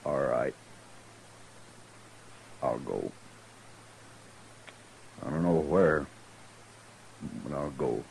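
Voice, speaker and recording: An American actor playing a broken, fearful gunfighter in a 1950s radio western, with a halting, pleading frontier drawl. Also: male voice, a bass voice, gravelly, darkish tone, full character voice, low-quality recording. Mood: defeated, bitter. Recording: old radio recording with faint noise.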